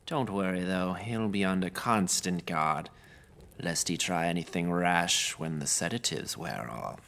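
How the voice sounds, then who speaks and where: A man's voice: deep voice, unhurried, creaky, a bit bright, slight background noise, heavily acted. An actor playing a courteous but controlling nobleman with formal phrasing in a fantasy audio drama. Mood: coolly reassuring.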